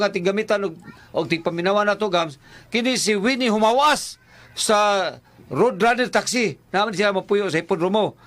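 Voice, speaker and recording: A male radio co-host with a Cebuano Bisaya accent and an unusually high voice for a man. He is chatty and casual, repeating phrases for emphasis as he plugs products and greets listeners. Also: fairly bright tone.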